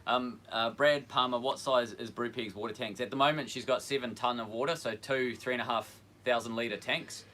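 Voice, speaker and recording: A man hosting a casual livestream, answering viewer questions in a hesitant, relaxed way with many pauses. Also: mid-range voice, slight background noise. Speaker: boat channel host.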